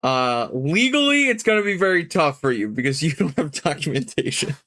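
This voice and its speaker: A man's voice: on the high side, big pitch swings, brisk, pushed and bright, dry recording. A man running a tabletop game as game master, improvising rulings in an explanatory way with some searching for words.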